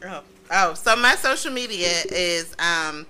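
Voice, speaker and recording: A woman's voice: lowish voice, lively intonation, unhurried, background noise. A woman in her thirties, a guest in casual chat, telling stories in a laid-back, laughing way while a little tipsy. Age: 30s. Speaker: podcast guest, mobile bartender.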